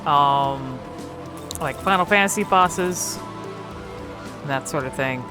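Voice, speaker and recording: A woman's voice: deep voice, slow pace, over music. A woman giving casual, unscripted game commentary in a hesitant, meandering way. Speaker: video creator.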